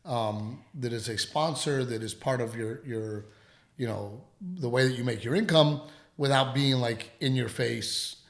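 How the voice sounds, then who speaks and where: A man co-hosting a casual podcast at home, talking in halting, rambling runs, with a lingering dry cough. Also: mid-range voice, roomy sound. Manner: rambling, halting, full of restarts. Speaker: podcast co-host, comedian. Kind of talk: casual chat.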